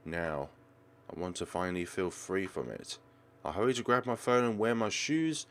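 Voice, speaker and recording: A man with a fairly low voice narrates a visual novel for a let's play. His pitch moves around a lot as he reads dramatically and drops in his own commentary. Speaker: let's player.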